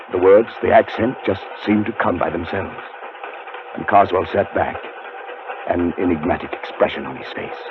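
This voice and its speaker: An actor playing a haunted, desperate man who narrates his own ordeal in tense, deliberate 1940s radio style. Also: deep voice, background noise. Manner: tense, dramatic, deliberate delivery. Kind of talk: 1940s radio acting, first-person suspense narration. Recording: muffled 1940s radio broadcast with loud music.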